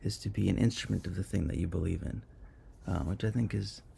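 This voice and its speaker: Native Arabic-speaking man, dark mellow low voice, relaxed and chatty, teaching with frequent fillers. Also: brisk, creaky, a little hiss or hum. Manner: conversational, many fillers and self-corrections. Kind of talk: informal online lesson on Arabic morphology.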